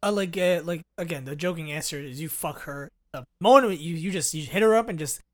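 Higher-voiced, blunt young American man. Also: brisk. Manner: slangy, blunt, repeats and rephrases himself. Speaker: podcast co-host. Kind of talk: casual chat.

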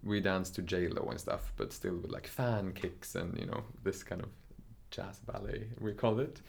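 A man's voice: low-pitched, fairly even intonation, moderate pace, gravelly, darkish tone. A young man from Norway, a dancer, talking through his story in a casual interview, thoughtful and hesitant with many fillers and restarts.

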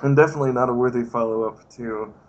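A man's voice: mid-range voice, fast talker, lo-fi sound. A man appearing as a guest on a comedy podcast, dropping short, dry jokes while the host reads. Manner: dry, deadpan quips. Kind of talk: casual comedic podcast chat.

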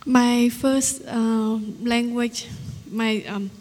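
Woman, slow halting english, mellow voice, hall reverb. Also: a little high, steady tone. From Cambodia. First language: Vietnamese. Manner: halting, simple phrasing. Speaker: guest. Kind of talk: testimony interview.

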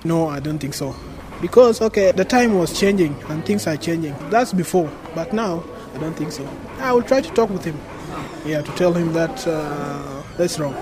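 A man's voice: on the high side, normal speed, noisy background. A young man from South Sudan with a South Sudanese accent, answering in a casual street interview outdoors. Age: young adult. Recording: busy outdoor street with crowd.

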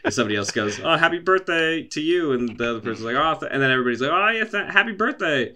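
A man's voice: a little high, expressive, very fast, pushed and bright, a little hiss or hum. A young man co-hosting a podcast, telling rambling stories full of fillers, swearing and voiced quotes. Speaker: podcast co-host. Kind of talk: casual chat.

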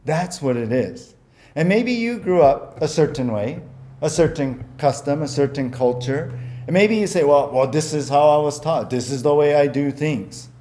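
A man's voice: a little high, darkish tone. A man preaching a sermon as pastor, earnest and conversational, often repeating words and using fillers, whose first language was Japanese.

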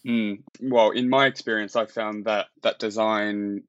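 A low-voiced man from Australia speaking in a flat, even tone as a guest in a casual interview. He talks in halting bursts, often restarting his sentences and leaning on fillers. The audio is dry and dull, like a lo-fi remote recording. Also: no echo. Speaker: UX designer, podcast guest.

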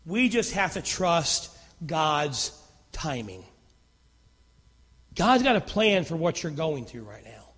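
A man preaching a sermon as pastor, with a naturally loud voice that swings from fervent emphasis to hushed near-whispers. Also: a little high, big pitch swings, takes it slowly, pauses often, slightly rough, big-room sound.

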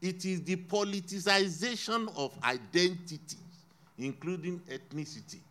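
Man for a panel talk, deliberate delivery, emphatic rises on key points. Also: sits high, pushed and bright. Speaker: panel speaker. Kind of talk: panel discussion. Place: lives in Sweden.

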